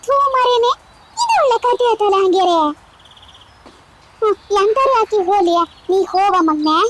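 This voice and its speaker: An exasperated cartoon mother from a village, as acted by a performer speaks in an extremely high, childlike pitch. She nags, grumbles and coaxes. There is some noise around the voice. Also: animated, moderate pace. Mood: coaxing. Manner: nagging, grumbling, coaxing. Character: exasperated village mother scolding and coaxing her son. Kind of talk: cartoon dialogue in colloquial village speech.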